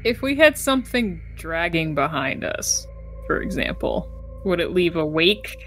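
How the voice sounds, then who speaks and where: A woman's voice: lowish voice, sing-song, unhurried, fairly bright tone, faint music behind. A woman playing a tabletop game, chatting casually and explaining her ideas as she thinks aloud. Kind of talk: casual group game chat.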